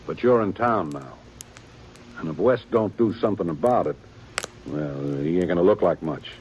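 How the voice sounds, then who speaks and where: An actor playing a weary, dutiful aging small-town sheriff with a plain folksy drawl in a 1950s radio drama. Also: male voice, low voice, lively intonation, normal speed, a little mellow, dull audio. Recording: old radio broadcast with faint hiss.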